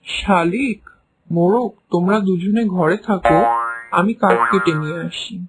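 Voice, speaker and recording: A woman's voice: lowish voice, measured pace, husky, faint music behind. A woman voicing a protective, sensible cat who gives careful advice in a children's cartoon. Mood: cautioning. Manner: careful, advising. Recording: faint background noise.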